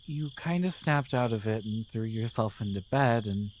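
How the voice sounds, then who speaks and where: A man voicing a devoted, gentle guardian angel in an intimate romantic ASMR roleplay, speaking softly with tender, hesitant care. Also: fairly low pitch, expressive, normal speed, a little hiss or hum, lightly acted. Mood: confiding, hesitant. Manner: soft, tender, hesitant, soothing. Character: devoted, gentle male guardian angel in love with their human.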